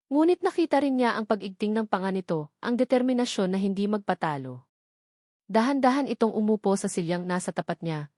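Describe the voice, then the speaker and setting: A woman reads a dramatic love story as scripted narration, in a measured, emotive storytelling way. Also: mid-range voice, darkish tone, no echo, studio quality.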